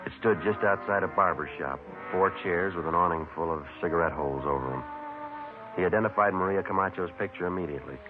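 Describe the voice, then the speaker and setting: Man as low, fast, clipped radio detective. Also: over music, lightly acted. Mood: matter-of-fact. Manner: matter-of-fact, clipped. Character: terse, businesslike male police sergeant narrating his case. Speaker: lead detective and narrator. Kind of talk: clipped procedural radio drama narration and dialogue. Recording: tinny vintage radio recording.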